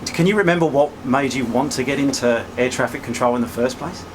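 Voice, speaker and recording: A man's voice: medium pitch, fairly quick, slight background noise. An Australian man casually interviewing someone on location as a vlogger.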